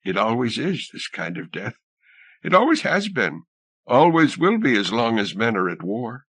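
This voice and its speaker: A man's voice: mid-range voice, big pitch swings, moderate pace, a bit bright, slightly rough, no echo, full character voice. Man reading as grave old woman, dry sound. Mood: solemn, firm. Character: grave, resolute old drowned woman, philosophical.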